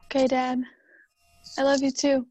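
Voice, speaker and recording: A woman acting the part of Hannah's unsettled grown daughter and wife role in a radio drama, speaking haltingly. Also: sits high, monotone, warm tone, dry recording. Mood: wistful, affectionate. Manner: hesitant, halting.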